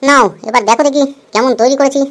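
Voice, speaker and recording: A woman voicing a brisk, boastful male village potter in a cartoon, hurried and self-assured. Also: high-pitched, fairly even intonation, close to an everyday voice. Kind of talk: cartoon voice acting.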